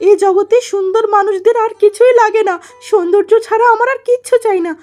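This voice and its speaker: A woman's voice: very high-pitched, steady tone, quick pace, darkish tone, soft background music. A woman voicing a vain, selfish young wife in a cartoon story, wailing and petulant in a melodramatic way. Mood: greedy, insistent.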